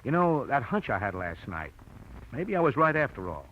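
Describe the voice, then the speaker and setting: A man's voice: medium pitch, sing-song, moderate pace, lightly acted. An American actor playing a shrewd, needling newspaperman in a 1940s radio drama, wry and probing. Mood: shrewd, accusing. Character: shrewd, needling male newspaperman confronting a suspect. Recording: dull old radio recording, faint noise.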